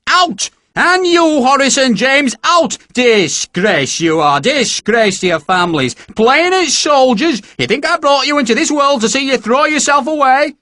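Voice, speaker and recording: An enraged mother in an audiobook, her voice flung high above his own and pushed loud and slow as she screams her scolding. Each word comes out shrill, her pitch swinging wildly. The dry, close sound keeps every shout right at the mic. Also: very animated, dry recording, exaggerated voice. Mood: screaming rage. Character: furious mother, working-class woman, screaming in rage.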